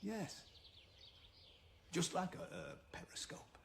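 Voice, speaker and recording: A gruff, wary birdwatcher in a radio drama. His fairly high voice moves slowly and haltingly, with lively rises and falls. There is some outdoor noise behind him. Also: heavily acted. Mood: wistful, absorbed. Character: gruff reclusive male birdwatcher, wary but softening. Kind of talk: naturalistic radio drama acting.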